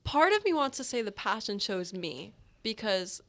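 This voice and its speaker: A woman's voice: medium pitch, big pitch swings, moderate pace, a bit bright. A young woman from Maryland with a slight lisp, chatting casually as a podcast guest, with many filler words and frequent laughs. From Maryland, USA. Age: young adult. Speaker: guest singer-songwriter.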